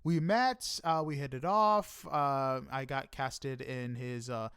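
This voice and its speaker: A man's voice: mid-range voice, takes it slowly, fairly bright tone, smooth, dry recording, studio quality. A man hosting a casual interview, agreeable and a little hesitant in how he talks. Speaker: interview host.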